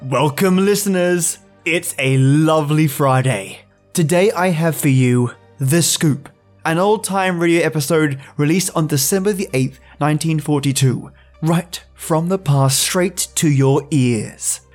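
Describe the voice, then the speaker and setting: A man with a slightly high voice hosts a podcast. He gives a scripted, theatrical yet chatty introduction with soft music behind him. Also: measured pace. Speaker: podcast host. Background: music bed.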